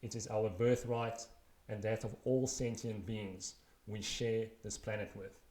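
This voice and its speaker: A South African man in his thirties reads his own blog essay aloud in an earnest, measured, wry way. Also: on the low side, flat delivery, a little mellow, echoey room. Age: 30s. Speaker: blogger reading his own post aloud. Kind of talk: scripted narration of a personal blog essay.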